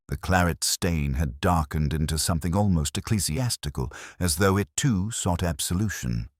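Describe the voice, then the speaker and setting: Very deep-voiced man narrating a mystery. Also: breathy edge, no room sound, studio quality. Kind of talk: scripted first-person detective story narration.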